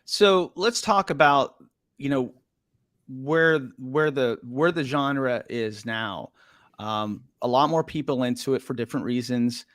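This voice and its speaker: A middle-aged man hosts a podcast interview in a dry, close-up sound. His voice sits at a middle pitch, and he asks long rambling questions full of restarts. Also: unhurried, fairly bright tone, dry recording. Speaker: podcast host. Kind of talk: casual interview talk.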